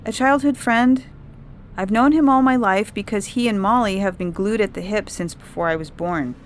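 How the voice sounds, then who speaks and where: A woman's voice: mid-range voice, moderate pace, a little hiss or hum, pitched above the performer's own voice, natural voice. A woman voicing an earnest, insistent young girl telling her account in a dramatised story reading. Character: young girl witness, earnest, recounting alien visitors. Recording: music bed under the reading. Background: music.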